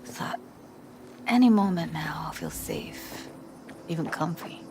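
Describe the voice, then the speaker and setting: Wistful, low-voiced young woman. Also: slow, gravelly, whispered, exaggerated voice. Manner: hesitant, soft, reflective. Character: young city woman, wistful, hesitant, uneasy. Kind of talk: video game voice acting.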